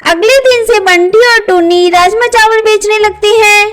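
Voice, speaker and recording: Very high-pitched woman narrating a story. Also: normal speed, fairly bright tone. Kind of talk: scripted children's story narration.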